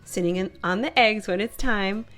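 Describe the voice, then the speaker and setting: A woman's voice: medium pitch, normal speed, a bit bright, soft background music, natural voice. A woman voicing a grumbling, stubborn adult male papa bear in a children's storybook, blustery and insistent. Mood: dismissive.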